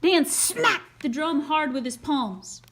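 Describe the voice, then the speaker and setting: A woman storyteller with a high voice reads a children's picture book. Her delivery is highly animated and dramatic, rising and falling freely as she narrates. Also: slow pace, gravelly, noisy background. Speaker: read-aloud storyteller.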